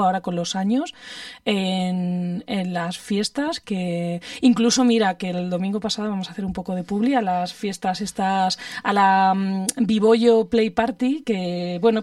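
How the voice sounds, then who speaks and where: A woman's voice: medium pitch, a little mellow, no room sound, studio quality, distant mic. A middle-aged woman with an accent from Spain, talking candidly and casually, often hesitating and rephrasing as she confides. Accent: Spanish, from Spain. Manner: hesitant, self-correcting, confiding, question tags.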